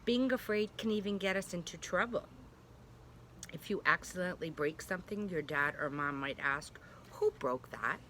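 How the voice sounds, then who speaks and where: A woman preschool teacher reading a storybook aloud to young children, gently and reassuringly, with chatty asides. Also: fairly low pitch, normal speed, slight background noise. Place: at home.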